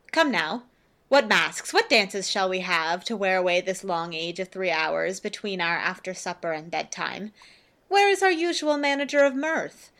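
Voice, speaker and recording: A woman playing a stately, genial and skeptical duke, with measured declamation in a Shakespearean radio play. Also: medium pitch, fairly bright tone.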